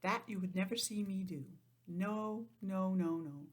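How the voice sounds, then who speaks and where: A woman teacher reading a picture book aloud to young children, stopping for simple, chatty explanations in an animated manner. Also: medium pitch, measured pace. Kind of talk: storybook read-aloud with chatty asides.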